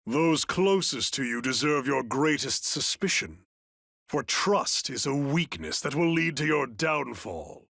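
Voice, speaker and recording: An actor playing a treacherous older mentor turned dark villain in a video game, gloating and deliberate with menace. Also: male voice, medium pitch, animated, reverberant. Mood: sinister, mocking. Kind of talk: dramatic video game voice acting.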